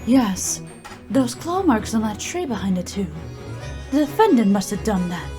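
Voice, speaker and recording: A woman's voice: mid-range voice, sing-song, moderate pace, mellow, soft background music, natural voice. A woman playing a firm, assured witness in a courtroom audio drama, articulate and insistent.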